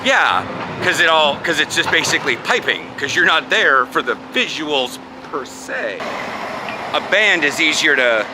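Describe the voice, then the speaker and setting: A man's voice: on the high side, sing-song, measured pace. Chatty man vlogging over street noise. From United States. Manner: chatty, rambling, laughs often. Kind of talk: casual vlog chat. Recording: clear traffic noise outdoors.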